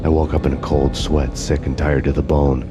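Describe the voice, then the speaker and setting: An actor voicing a weary, sardonic hard-boiled detective, narrating a noir video game in slow, poetic deadpan. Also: male voice, extremely low pitch, loud music behind the voice. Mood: grim, weary.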